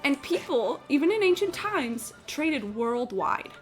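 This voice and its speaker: A woman's voice: sits high, big pitch swings, measured pace, faint music behind. A woman livestreaming a game, chatting casually and opinionatedly in a blunt, sweary, incredulous ramble. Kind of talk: casual opinionated chat while gaming.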